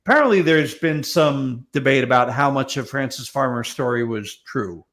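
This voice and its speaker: Guy on a talk panel, creaky voice, mid pitch, rambly and wry. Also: animated, normal speed, a bit bright. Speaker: live show panelist. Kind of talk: casual chat.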